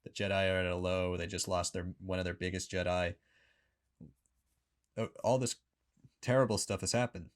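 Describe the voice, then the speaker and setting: A man's voice: low-pitched, steady tone, normal speed, pauses often. A man co-hosting a review show, sharing his opinions in a casual, conversational style. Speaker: co-host of a book review show.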